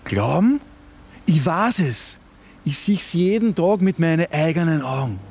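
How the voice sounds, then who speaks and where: Insistent Austrian conspiracy crank. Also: male voice, on the high side, expressive, unhurried, a little mellow, breathy edge, exaggerated voice. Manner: insistent, colloquial, condescending. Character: domineering flat-earth conspiracy believer, a man turned kidnapper. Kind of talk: contemporary radio play acting.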